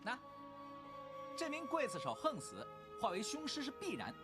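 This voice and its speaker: A man playing a cocky, clever teenage disciple in an animated drama, glib and bold. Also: extremely high pitch, normal speed, full character voice. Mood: cocky, challenging. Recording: music behind dialogue.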